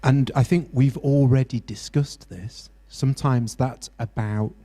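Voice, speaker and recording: A man's voice: mid-range voice, steady tone, dark tone. A British man facilitating a mental health workshop, encouraging and full of restarts as he talks to the group. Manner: encouraging, halting with many restarts. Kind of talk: interactive workshop teaching. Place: university hall workshop.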